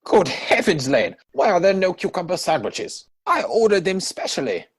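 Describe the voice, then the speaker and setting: A man playing a flippant young Victorian gentleman in a stage comedy reading, with glib, mock-earnest theatrical delivery. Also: high-pitched, sing-song, normal speed, husky, exaggerated voice. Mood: mock horror.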